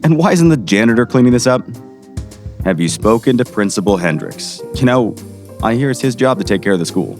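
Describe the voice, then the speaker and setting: A man's voice: medium pitch, expressive, brisk, soft background music, close to an everyday voice. A man playing a weary small-town sheriff in an audio drama, folksy, patronizing and dismissive. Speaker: voice actor.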